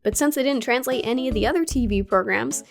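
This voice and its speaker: A woman's voice: on the high side, quick pace, vocal fry. A woman narrating a scripted gaming video essay in a curious, playful way, with casual asides. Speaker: gaming YouTuber.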